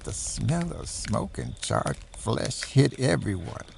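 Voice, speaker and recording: A male narrator tells a scripted horror history in a heavily creaky voice. His pitch moves around in a lively way, and there is clear noise in the recording. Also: slow pace. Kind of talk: scripted documentary-style narration of a horror story.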